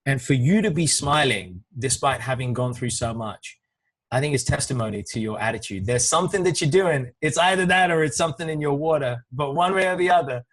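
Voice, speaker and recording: A man's voice: mid-range voice, lively intonation, brisk, fairly bright tone, rough recording. A male host asking long, rambling questions in a jovial, laughing interview manner. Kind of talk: casual interview talk.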